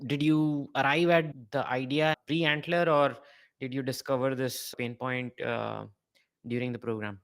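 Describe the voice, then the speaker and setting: A man's voice: medium pitch, steady tone, measured pace, clear, resonant tone. A man hosting a podcast interview, asking questions and giving brief attentive backchannels. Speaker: podcast host.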